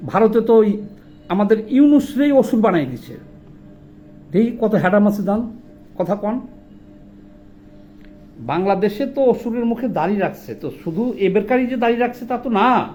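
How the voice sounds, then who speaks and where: A man's voice: sits high, lively intonation, moderate pace, pauses often, a little mellow, faint music behind. A man with a Bangladeshi accent delivering an impassioned political monologue, indignant and emphatic, often putting rhetorical questions. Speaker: political commentator.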